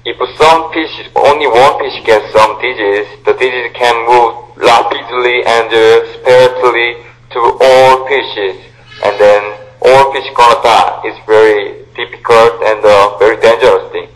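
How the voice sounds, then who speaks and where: A young man in his twenties from South Korea with a Korean accent, answering interview questions in halting, simple English. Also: mid-range voice, fairly even intonation, rough recording. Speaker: volunteer guest. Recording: radio broadcast.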